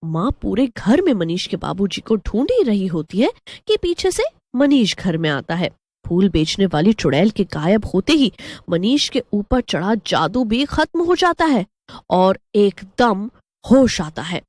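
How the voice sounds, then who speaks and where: A woman's voice: mid-range voice, big pitch swings, measured pace, a little mellow, no echo. A woman narrating a scripted horror story as a storyteller.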